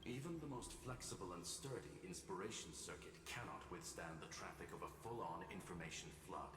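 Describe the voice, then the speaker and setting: An actor playing a formal, coolly logical male scholar, giving measured analysis in a video game. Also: low voice, noisy background. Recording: distant echoey reverb under loud music.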